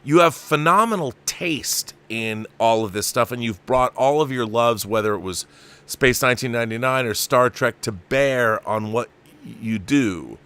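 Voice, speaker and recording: Male host, middle-aged, forceful bright voice, opinionated and enthusiastic in casual fan chat. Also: mid-range voice, normal speed, clean tone. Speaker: show host.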